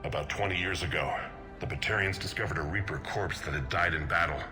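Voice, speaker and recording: Man doing an intense older researcher guy from a game, real low voice, music under it. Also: quick pace, natural voice. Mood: urgent, intrigued. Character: driven, earnest older male scientist, a research doctor. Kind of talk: video game voice acting.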